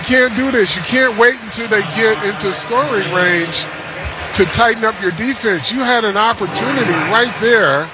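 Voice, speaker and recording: A man's voice: sits high, normal speed. An American man giving blunt, exasperated live football analysis as a radio color commentator. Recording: noisy stadium booth. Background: stadium noise.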